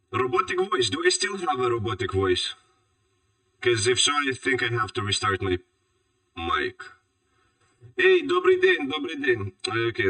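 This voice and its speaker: A man's voice: fairly low pitch, sharp tone, breathy edge. A man streaming from home in casual chat, rambling and thinking aloud as he sets up his gear. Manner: rambling, thinking aloud, asking himself questions. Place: home, moving between PC and kitchen. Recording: distant, muffled home stream mic.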